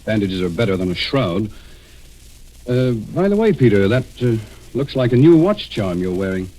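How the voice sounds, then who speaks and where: A man playing a level-headed amateur sleuth who also narrates a mystery, in measured, probing 1940s radio acting. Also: on the low side, very animated, warm tone. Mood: cool, probing. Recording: noisy vintage 1940s radio recording.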